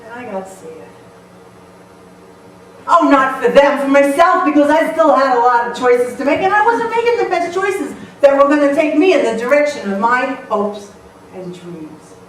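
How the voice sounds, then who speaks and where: A woman telling funny stories as a motivational speaker on stage, lively and playful, often laughing. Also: high-pitched, normal speed. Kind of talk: humorous storytelling talk to a live audience. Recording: echoey hall, mic far off, faint noise.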